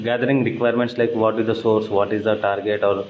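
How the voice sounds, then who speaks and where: A man's voice: fairly low pitch, level tone. Hesitant man on a muffled, echoey call. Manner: hesitant, halting. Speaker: job candidate. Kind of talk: answering technical interview questions. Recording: dull, echoey, noisy call recording.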